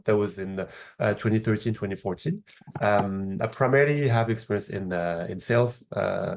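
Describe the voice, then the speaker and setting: A man in his thirties from Morocco with a French accent, answering interview questions in a measured, explanatory way with frequent hesitations. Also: on the low side, darkish tone. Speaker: podcast guest, startup founder.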